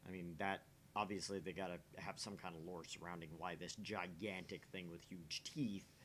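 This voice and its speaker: A man with a somewhat low voice chats as co-host of a game stream. He lays out his thinking in a casual, explanatory way, restarting and repeating words as he goes. The recording carries a faint low rumble. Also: steady tone, normal speed, fairly bright tone. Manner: explains at length with repetition and restarts. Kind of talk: casual chat, opinionated musing.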